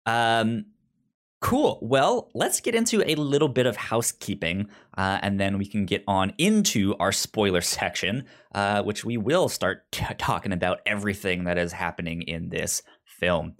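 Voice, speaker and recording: A man with a lowish voice hosts a relaxed podcast chat. He talks easily, packing in fillers and often catching on the first sound of a word, repeating it several times before it comes out. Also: moderate pace. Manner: frequent stutters and sound repetitions. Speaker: podcast host.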